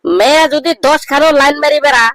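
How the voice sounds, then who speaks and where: A man voicing a cheeky, boastful young village know-it-all in a comedy cartoon, with a rural Bangladeshi accent and a pitched-up voice. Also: lively intonation, fast, fairly bright tone, no room sound. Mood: mocking, emphatic. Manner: bragging, teasing, declamatory.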